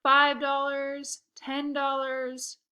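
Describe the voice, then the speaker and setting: A woman reading a children's book aloud, voicing a cocky, defensive young boy in an expressive way. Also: high-pitched, very slow, voice pushed up, full character voice. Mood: taunting. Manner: expressive, exclamatory in dialogue. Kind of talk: first-person children's book read-aloud.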